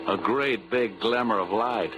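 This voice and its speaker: An actor playing a cynical, smooth-talking insurance investigator with an American accent in a wry, deadpan 1940s radio drama style. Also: male voice, lowish voice, a little slow, natural voice, poor audio. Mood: wry narration. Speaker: lead actor and narrator. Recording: vintage 1940s radio recording, music behind.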